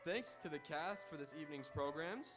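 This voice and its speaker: A man's voice: fairly high pitch, animated, moderate pace, loud music behind the voice. A man announcing the sign-off of a live radio theatre broadcast from an auditorium stage.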